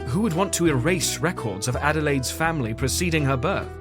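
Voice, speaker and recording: An actor playing a fussy, caring Victorian gentleman doctor in an audio drama, with earnest, old-fashioned formal speech. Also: a little high, lively intonation, a little fast, music in the background, natural voice. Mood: grave, puzzled.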